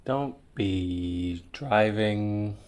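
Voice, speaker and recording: A man leading a guided visualization in a soothing, meditative way, leaving long pauses between short phrases. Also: on the low side, darkish tone.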